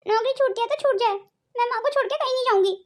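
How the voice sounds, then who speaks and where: A woman's voice: very high-pitched, rapid-fire. A woman voicing a dutiful, polite young daughter-in-law in a Hindi cartoon family drama. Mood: worried. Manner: polite, deferential.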